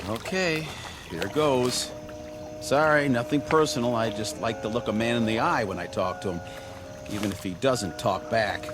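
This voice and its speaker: A man's voice: medium pitch, moderate pace, over loud music, close to an everyday voice. Man playing a brash, wisecracking space crewman. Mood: wry, impatient. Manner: folksy, breezy, blunt. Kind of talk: dramatic science fiction audio drama.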